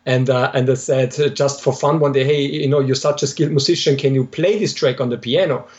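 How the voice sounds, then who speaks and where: A man from Austria, a game developer, talking in a casual interview and pausing often with "uh" while telling stories. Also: medium pitch, fairly even intonation. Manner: hesitant, many "uh"s, warm storyteller. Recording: dull lo-fi audio.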